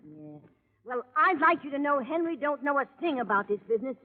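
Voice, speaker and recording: An actress playing a wary, defensive working-class wife, talking in guarded, uneducated folksy phrases in a 1950s radio drama. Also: female voice, high voice, sing-song, fairly quick. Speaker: foreman's wife. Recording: muffled old radio, long reverb.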